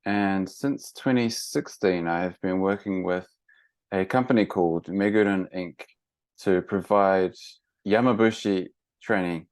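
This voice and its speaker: Man, New Zealand accent, low pitch, dry close sound, relaxed explaining, lots of ums and restarts. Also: a little slow, clear, resonant tone, no room sound. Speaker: guest, mountain monk trainer. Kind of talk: casual interview.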